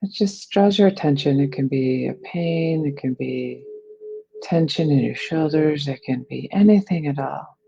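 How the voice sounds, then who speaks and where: A meditation guide with a mellow, breathy voice gently leads listeners through relaxation. The phrasing is soothing and unhurried. The recording sounds slightly dull and lo-fi. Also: medium pitch.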